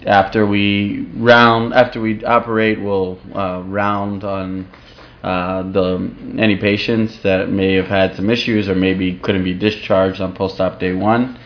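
A young man with a very low, flat voice answers questions about his hospital work. His speech is halting and laid-back, with frequent pauses, and a faint hum sits behind a dull, lo-fi recording. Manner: halting and laid-back, pausing often mid-sentence. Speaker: surgical resident. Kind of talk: interview answers. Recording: dull lo-fi sound with faint background noise.

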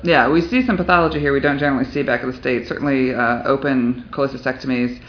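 Man, podcast host, rapid friendly chat, dull lo-fi sound. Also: mid-range voice, a little hiss or hum. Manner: upbeat, friendly and chatty. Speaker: podcast host interviewing mission team. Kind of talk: casual podcast interview. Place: hospital on a surgical mission. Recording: dull lo-fi recording.

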